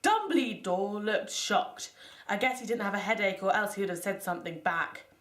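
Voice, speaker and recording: A woman's voice: medium pitch, animated, moderate pace, a bit bright. Theatrical woman narrator in an echoey room. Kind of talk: dramatic first-person fan fiction narration.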